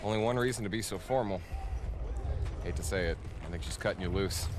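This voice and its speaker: An actor playing a wisecracking, cynical young soldier in a war game, teasing and glib. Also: male voice, sits low, brisk, lightly acted. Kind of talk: cinematic war game acting. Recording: loud outdoor vehicle noise.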